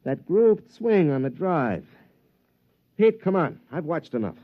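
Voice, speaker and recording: An American actor playing a proud, determined, suspicious championship golfer in an old-time radio drama, with an intense, musing delivery. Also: male voice, on the high side, sing-song, unhurried, over loud music. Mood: puzzled, intent. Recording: dull vintage radio recording.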